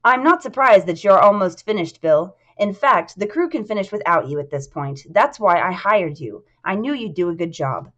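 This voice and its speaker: A woman voicing a confident, commanding male business boss from a novel, brisk, assured and formal. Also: mid-range voice, lively intonation, a bit bright. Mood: assured, serious. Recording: dull lo-fi sound.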